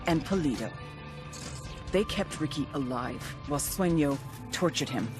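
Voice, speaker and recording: A hard-edged female agent. She speaks in a terse, driven, commanding way, as in a game's mission briefing. Music plays behind her. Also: sits low. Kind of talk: video game dialogue and dramatic briefing narration.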